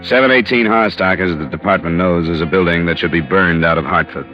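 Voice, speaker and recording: An actor playing a tough, dogged insurance investigator in 1950s radio drama style, clipped, deliberate and wry. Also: male voice, deep voice, lightly acted. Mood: grim, measured. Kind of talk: 1950s radio drama acting, first-person crime narration. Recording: dull old radio recording with music.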